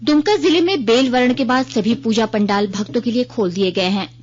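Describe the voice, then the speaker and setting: A woman's voice: fairly high pitch, brisk, a little mellow. A woman reads a formal scripted regional news bulletin on the radio as the newsreader. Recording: dull lo-fi radio broadcast.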